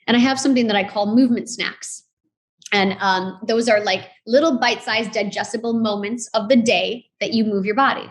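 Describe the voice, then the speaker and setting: A woman of forty with a fairly high voice speaks as an interviewed fitness instructor. She is informal and conversational, stressing her points in an encouraging way. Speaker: podcast guest, fitness founder and instructor.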